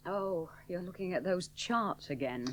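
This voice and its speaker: An actress playing a determined young woman pilot in a radio drama, earnest and emotional, sometimes soft and hushed. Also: fairly low pitch, lively intonation, unhurried. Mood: strained. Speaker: aviator. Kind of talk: 1970s radio drama acting.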